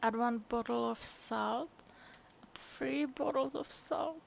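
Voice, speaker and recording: A woman's voice: high voice, slow, vocal fry, a little hiss or hum. A woman streaming a game, drawling and amused as she muses aloud. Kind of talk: casual commentary over a game.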